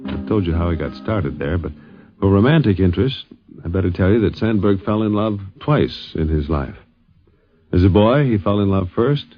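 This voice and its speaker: Deep-voiced American fifties radio host. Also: male voice. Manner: conversational storytelling. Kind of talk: 1950s radio documentary narration. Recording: dull 1950s radio recording.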